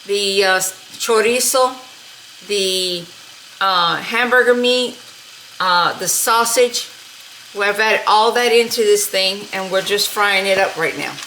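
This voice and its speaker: A middle-aged woman talks through a recipe in a relaxed, homey way, pausing with little fillers as she works. Her pitch sits in an ordinary middle range. Clear frying and sizzling noise from the pan fills the home kitchen behind her. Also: poor audio. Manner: unhurried, homey, talks while cooking with many fillers. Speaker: cooking channel host. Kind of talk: casual cooking demonstration.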